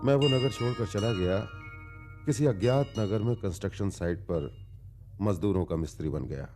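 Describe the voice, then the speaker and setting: An actor playing a world-weary, philosophical wandering man who recounts his past in formal, deliberate radio-drama storytelling with long pauses. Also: fairly low pitch, warm tone, faint music behind, close to an everyday voice. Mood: brooding, wistful.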